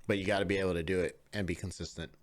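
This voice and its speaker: A man's voice: low voice, little pitch movement, racing. A man in his late twenties co-hosting a podcast in casual, laid-back chat, laughing often. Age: late 20s. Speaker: podcast co-host.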